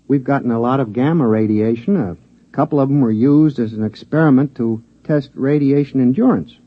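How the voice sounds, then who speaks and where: An actor in an old radio drama playing a talking lab mouse, a plain-spoken, henpecked husband who dryly insists. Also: male voice, mid-range voice, no room sound, lightly acted. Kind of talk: 1950s radio drama acting. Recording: dull vintage radio broadcast recording.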